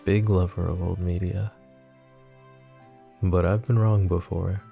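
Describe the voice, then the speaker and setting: A man playing a flirty, possessive mafia boss who is smitten and vulnerable, speaking in soft, teasing, intimate ASMR roleplay. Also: deep voice, flat delivery, unhurried, lo-fi sound, faint music behind. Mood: warm, flirty. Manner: soft teasing banter with breathy chuckles.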